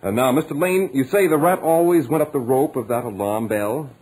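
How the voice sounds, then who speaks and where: An actor playing a grave, probing doctor with husky voice and hesitant, deliberate phrasing in a 1940s radio horror drama. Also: male voice, mid-range voice, a little mellow, natural voice, poor audio. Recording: husky, worn old radio recording.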